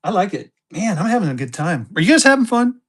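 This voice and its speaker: High-voiced man hyping auction bids. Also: sing-song, fast talker, a bit bright. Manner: hypes bids in bursts, talks about himself in third person. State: tired. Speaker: live auction host selling banknotes. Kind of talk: live-stream auction patter.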